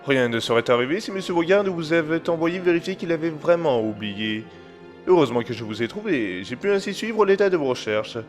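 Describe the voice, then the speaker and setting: A man as a calm, scheming crime boss; coldly formal, animated pitch, slightly high, music bed. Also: a little fast, close to an everyday voice. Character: cold scheming male crime boss, middle-aged. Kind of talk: amateur audio drama acting. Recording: music underneath.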